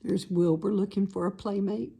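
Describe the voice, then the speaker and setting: A woman reading a children's storybook aloud as an expressive narrator. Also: mid-range voice, moderate pace, gravelly, husky.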